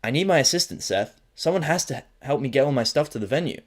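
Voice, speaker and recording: A man's voice: mid-range voice, animated, fast talker, voice pushed up, natural voice. A man voicing a cool, brash female DJ pony, slangy and sarcastic, in an audio drama. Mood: persuasive. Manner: slangy, laid-back, sarcastic.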